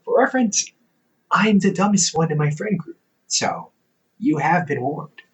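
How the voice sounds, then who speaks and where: A man's voice: a little high, animated, measured pace, rough recording. A man voicing a playful young adult older brother who works as a company therapist, teasing the listener and laughing often in an audio roleplay.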